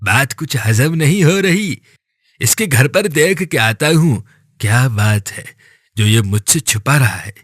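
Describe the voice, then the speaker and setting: Envious, suspicious cartoon villager, played by a man: nosy neighbour with animated, swooping delivery. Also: mid-range voice, slow, no echo, studio quality. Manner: suspicious, muttering to himself. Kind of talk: animated moral story voice acting.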